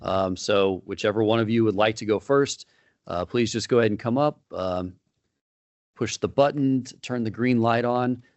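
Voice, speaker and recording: A man's voice: lowish voice, a little mellow, no room sound. A man chairing a board meeting, speaking informally with frequent fillers and restarts. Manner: informal, halting, with many fillers.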